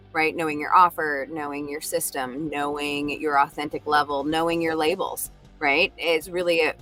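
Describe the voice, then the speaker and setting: Woman, casual motivational speaker, lively, mimics inner voices; faint background music. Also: low-pitched. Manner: animated, acts out inner doubting voices. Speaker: speaker sharing a self-talk exercise.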